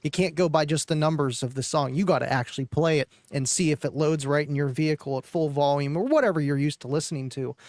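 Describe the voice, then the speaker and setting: A man with a somewhat high voice talks loosely and easily, filling gaps with "you know" and "like". His sound is dry and close, with no room echo. He co-hosts a live stream, chatting about car audio. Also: quick pace, hardly any pauses. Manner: relaxed, rambling with many fillers.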